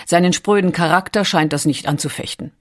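A woman's voice: lowish voice, a little fast. A woman reading scripted documentary narration in a composed, carefully articulated storytelling manner.

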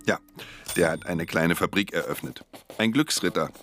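An actor playing a harried, irritable government clerk, brusque and defensive, in a German radio play. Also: male voice, low voice, moderate pace, fairly bright tone.

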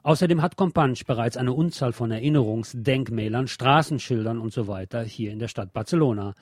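A man's voice: mid-range voice, a little fast, darkish tone, no echo, clean studio sound. A man presenting a radio magazine, reading scripted news reports.

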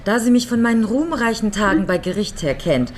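A woman's voice: a little high, animated, a little fast, a little hiss or hum, close to an everyday voice. An actress playing a careful, methodical middle-aged woman who explains things drily, in a German radio crime drama. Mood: measured, explanatory. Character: careful, methodical lawyer woman, amateur sleuth friend.

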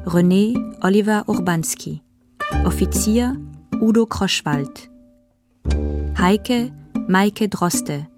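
A woman reads as a radio drama announcer, measured and neutral. She speaks slowly, with plucked guitar music clearly audible behind her. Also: medium pitch.

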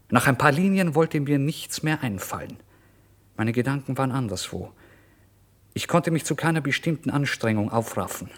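Brooding, despairing writer. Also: male voice, medium pitch. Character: despairing starving male writer, brooding, tormented. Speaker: actor narrating in first person. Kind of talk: literary radio play monologue.

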